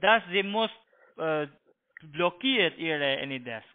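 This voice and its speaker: A man with a foreign accent, a non-native speaker giving instructions on a phone call in halting, repetitive, broken phrases. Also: a little high, lively intonation. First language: not German. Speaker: phone scam call-centre agent. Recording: tinny, band-limited phone line.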